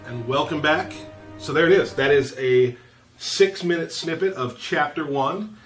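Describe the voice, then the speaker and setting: A man's voice: on the high side, measured pace, a bit bright, far from the mic, soft background music. A man hosting a casual developer livestream, chatting with guests in a jokey, informal way. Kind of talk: casual chat, interviewing guests.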